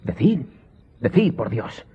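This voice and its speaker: A man's voice: mid-range voice, sing-song, slow pace, husky, exaggerated voice. An actor playing an imperious, probing nobleman in a radio drama, commanding as he interrogates. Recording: muffled, dull audio drama recording.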